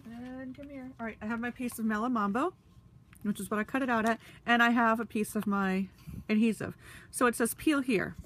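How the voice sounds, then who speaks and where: A woman's voice: a little high, brisk, a bit bright, a little hiss or hum. Sniffly woman chatting through a craft demo. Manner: chatty, rambling with fillers; talks while handling tools. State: congested, sniffly. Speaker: crafting demonstrator. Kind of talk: casual tutorial demonstration.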